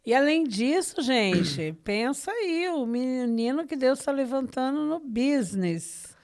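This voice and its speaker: A woman's voice: high-pitched, sing-song, takes it slowly, darkish tone. A woman with a Brazilian accent hosting a podcast from her living room, talking conversationally with devout enthusiasm and frequent tag questions. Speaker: podcast host. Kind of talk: conversational podcast introduction of a guest.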